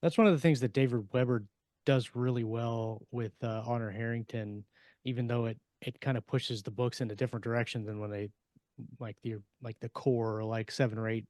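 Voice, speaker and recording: A middle-aged man discussing books casually as a podcast co-host, explaining in a halting, measured way. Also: medium pitch, steady tone, darkish tone, no echo. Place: indoor room on a video call.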